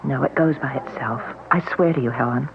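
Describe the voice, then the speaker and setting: An actress playing an anxious, earnest woman in a radio mystery drama, talking quickly and with worry. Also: a bass voice, a crowd in the background. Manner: quick, breathy and worried. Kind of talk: 1970s radio drama acting. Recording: tinny old radio broadcast recording.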